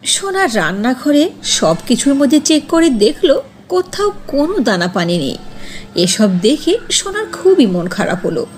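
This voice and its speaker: A woman's voice: sits high, sing-song, normal speed. A woman narrating a cartoon fairy tale as a scripted storyteller.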